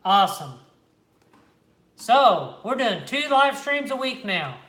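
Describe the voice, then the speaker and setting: A man's voice: very high-pitched, very animated, slow pace, fairly bright tone. Laid-back man chatting on a livestream. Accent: Midwestern American. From Kansas, Oklahoma, Missouri area. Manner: laid-back, reads and answers live chat. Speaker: reptile channel host. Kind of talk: casual livestream chat with viewers. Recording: echoey room.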